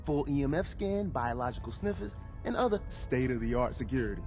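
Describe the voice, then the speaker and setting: A man's voice: medium pitch, fairly quick, music in the background, lightly acted. Man playing a jittery, paranoid tinkerer, swooping delivery. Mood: paranoid, insistent. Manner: excitable, conspiratorial rambling. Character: jittery, paranoid male resistance tinkerer and gadget maker. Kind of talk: video game dialogue acting.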